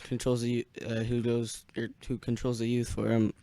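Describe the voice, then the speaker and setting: A young man hosts a casual ham radio net. He talks haltingly and gets easily sidetracked. The sound is close and dry, with little room to it. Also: mid-range voice, completely flat delivery, dark tone, no room sound. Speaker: host of an amateur radio club net.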